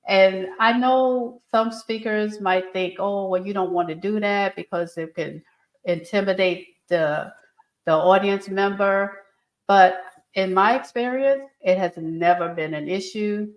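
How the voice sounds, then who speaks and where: A woman's voice: mid-range voice, a little slow, roomy sound. A woman speaking coach explaining her advice in an earnest, instructive way during an interview. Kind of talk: interview answers.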